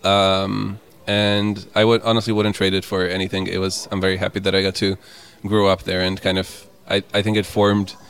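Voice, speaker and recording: A man's voice: low-pitched, little pitch movement, moderate pace, hardly any pauses, fairly bright tone, clear, resonant tone. A young man from Croatia, a musician answering interview questions in English in an easygoing, reflective way. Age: young adult. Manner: easygoing, reflective, laughs often. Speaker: musician guest.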